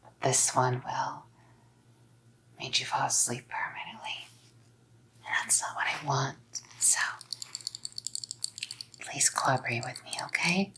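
A young woman playing an obsessive, menacingly sweet psycho girlfriend in a whispered ASMR roleplay, cooing and softly threatening. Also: extremely low pitch, takes it slowly, long pauses, full character voice. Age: young adult. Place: small dim room indoors. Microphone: close ASMR mic.

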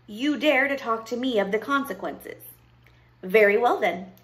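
A woman voicing a terrible, miserly witch in a fairy tale, slow, indifferent and menacing. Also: a little high, fairly bright tone, natural voice. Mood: threatening. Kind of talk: storybook character voice.

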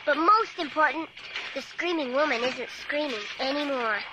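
An actress playing an earnest, excitable young girl in a radio drama, eager and expressive. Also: sits high, normal speed, heavy background noise, lightly acted. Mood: relieved narration. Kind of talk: radio drama acting and first-person narration. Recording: radio drama with music underneath.

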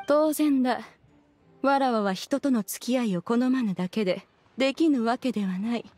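Haughty ancient immortal played by a woman, slightly high. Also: moderate pace, a little mellow, dry recording, soft background music, natural voice. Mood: proud, dignified. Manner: archaic, imperious, formal speech. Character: haughty ancient female immortal in human form. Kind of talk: video game voice acting.

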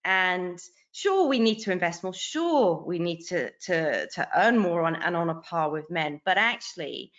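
A woman's voice: fairly low pitch, expressive, unhurried, few pauses, fairly bright tone. A woman in her forties, a guest author on a video call interview, talking earnestly and animatedly, often restarting her phrases. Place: bright home room. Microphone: video call webcam. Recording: dull lo-fi video call audio.

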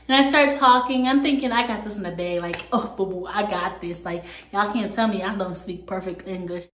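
A woman's voice: a little high, a bit bright. A young woman from Jamaica with a light Jamaican accent, chatting to the camera on her vlog with sassy asides, sitting on a couch at home. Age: young adult. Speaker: vlogger. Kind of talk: casual chat to camera. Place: small room at home, on a couch. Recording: echoey room.

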